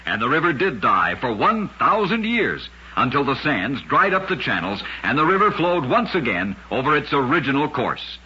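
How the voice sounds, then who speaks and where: A man's voice: mid-range voice, very animated, normal speed, few pauses, bright, forceful tone, slight background noise. A man hosting and narrating scripted old-time radio trivia stories in a dramatic, emphatic manner. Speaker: radio show host and storyteller. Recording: dull, lo-fi old radio recording.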